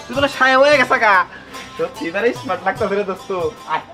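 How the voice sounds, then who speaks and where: An actor playing a warm-hearted, eager village friend in a drama, with a rural Bangladeshi accent. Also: male voice, high-pitched, lively intonation, quick pace, music in the background. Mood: joyful, eager. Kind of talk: Bangla short-film drama acting.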